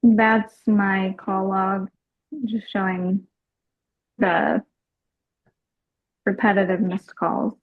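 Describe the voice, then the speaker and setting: Woman on the stand, unhurried pace, short formal replies, dull low-fidelity recording. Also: flat delivery. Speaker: witness testifying. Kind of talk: brief formal testimony answers.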